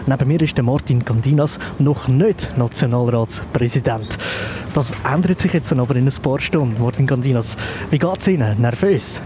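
A Swiss reporter puts brisk, matter-of-fact questions to his guest in an ordinary mid-pitched voice. The recording was made on a moving train, so steady train noise sits clearly under his speech. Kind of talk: news interview. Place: train carriage. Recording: noisy train interview.

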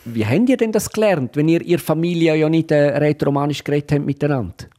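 A man with a Swiss German accent asks probing follow-up questions in a conversational interview. His voice sits a little high for a man, and he often pauses on fillers before rephrasing what he heard. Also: warm tone.

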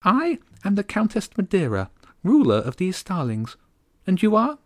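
Haughty little starling queen, high and prim, clipped and sharp, with a dry close sound, put on by a man reading a storybook. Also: wildly swooping pitch, normal speed, no room sound, exaggerated voice. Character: tiny regal female starling queen, haughty.

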